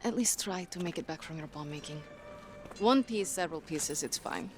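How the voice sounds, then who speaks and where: An actress playing a defiant, wry young Soviet army nurse in a video game cutscene. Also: female voice, low-pitched, expressive, normal speed, music in the background. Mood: wry, affectionate. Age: young adult. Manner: wry, teasing.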